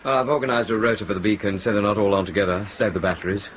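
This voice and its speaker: A man acts a practical flight officer in a radio drama. He talks quickly in a lowish voice, matter-of-fact and technical. Faint noise runs behind him. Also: steady tone, a bit bright, lightly acted. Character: practical airliner crew officer, careful and technical.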